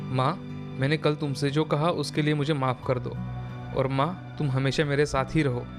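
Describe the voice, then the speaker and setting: Man as easily swayed young husband, cartoon drama, earnest, slightly high pitch. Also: fairly even intonation, moderate pace, music in the background, lightly acted. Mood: apologetic.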